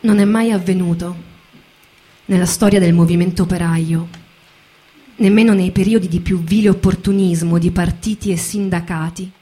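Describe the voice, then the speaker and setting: A woman giving theatrical spoken narration in a measured, deliberate way, pausing between phrases. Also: fairly low pitch, fairly even intonation, warm tone, slightly rough. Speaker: narrator, performer.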